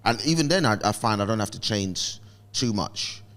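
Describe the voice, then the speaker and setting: A young man with a London accent, a guest comedian in relaxed conversation, laid-back and quick to laugh. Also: fairly low pitch. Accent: London English. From England. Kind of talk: casual chat.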